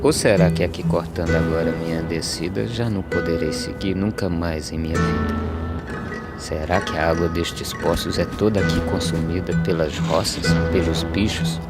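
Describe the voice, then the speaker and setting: A humble migrant farmhand from an animated film, weary yet earnest, with a Brazilian accent. The low voice recites dramatic verse, and music plays clearly underneath. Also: male voice, moderate pace. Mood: weary, pondering. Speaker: narrator and lead character of animated verse drama.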